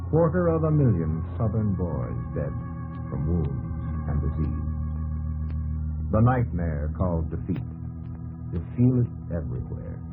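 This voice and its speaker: A stirring, solemn American chronicler on old-time radio. He declaims in slow, measured phrases with wide swings of pitch. Organ music plays behind him on an old broadcast. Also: very animated, narrow, tinny audio. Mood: somber. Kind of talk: 1950s radio documentary drama narration.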